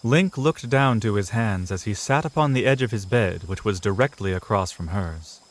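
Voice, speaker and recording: A man's voice: fairly low pitch, expressive, normal speed, a little hiss or hum. A man reading scripted narration for a fantasy audio drama, in a storyteller's style.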